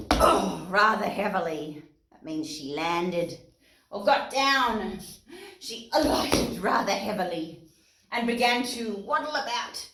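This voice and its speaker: A woman's voice: mid-range voice, slight background noise. An Australian woman presenting storytime for young children, reading aloud expressively and explaining things simply. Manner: expressive, asks listeners questions and explains words simply. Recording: roomy echo, distant mic, guitar music.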